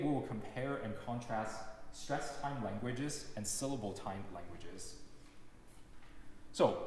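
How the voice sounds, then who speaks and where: A young man whose first language is Cantonese, giving a lecture in a measured, explanatory way, demonstrating examples aloud. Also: mid-range voice. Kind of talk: lecture recital talk. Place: large recital hall. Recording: echoey hall with long reverb, faint noise.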